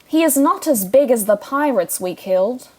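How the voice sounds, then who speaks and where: An eager little boy played by a woman, high-pitched and very lively in intonation. He is childish, artless and forthright, the youngest brother in a radio play. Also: normal speed, a crowd in the background, close to an everyday voice. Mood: curious, indignant. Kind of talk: audio drama acting.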